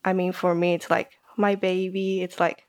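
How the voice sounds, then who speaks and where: A chatty young woman from Taiwan talks freely with plenty of fillers and easy laughter. Her voice sits somewhat low for a woman. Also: flat delivery, normal speed. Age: young adult. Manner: chatty, uses many fillers, laughs often. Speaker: podcast host, guest here. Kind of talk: casual chat.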